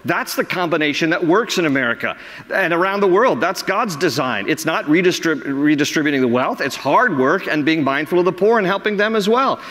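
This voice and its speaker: A man's voice: a little high, animated, fairly quick, a bit bright. A man preaching an emphatic sermon as pastor, reading quotes aloud from the pulpit. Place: large church sanctuary. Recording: long hall reverb.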